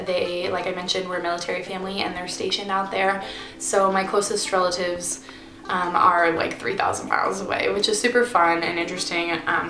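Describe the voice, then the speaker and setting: A young woman in her twenties chatting casually to camera as a vlogger, bubbly and rambling with fillers, sitting in her bed at home. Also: fairly low pitch. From Pennsylvania, USA. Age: 20s. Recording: roomy echo with music behind. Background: music.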